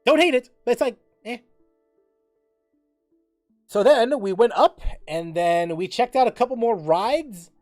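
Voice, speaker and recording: A man hosting a livestream in casual, rambling chat, often halting to repeat and rephrase himself mid-sentence. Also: very high-pitched, animated, a little mellow, soft background music. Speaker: livestream host.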